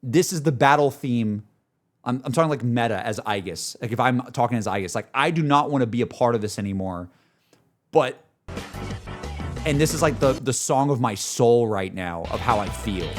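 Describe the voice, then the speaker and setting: A man's voice: mid-range voice, lively intonation, moderate pace. A man chatting casually as a streamer, effusive and slangy, repeating his phrases for emphasis. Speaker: streamer and video host. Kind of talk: casual live reaction chat to game music. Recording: music playing behind the voice. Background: video game battle music.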